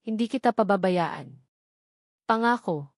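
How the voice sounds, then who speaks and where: A woman voicing an earnest, protective billionaire man in a storybook romance, speaking softly and reassuringly. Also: mid-range voice, expressive, a little slow, dry recording, studio quality, lightly acted. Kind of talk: storybook character voice.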